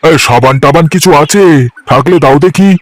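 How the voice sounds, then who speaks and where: Man acting high-voiced eager village market seller. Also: brisk, natural voice. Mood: casual, homely. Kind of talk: cartoon voice acting.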